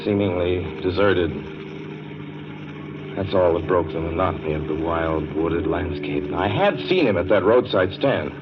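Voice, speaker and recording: An actor playing a haunted, increasingly frantic motorist telling his eerie tale in a measured, dramatic 1940s radio suspense style. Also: sits low, noisy background, close to an everyday voice. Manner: measured, dramatic, brooding delivery. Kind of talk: 1940s radio drama acting, first-person suspense narration. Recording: muffled old radio recording with loud music.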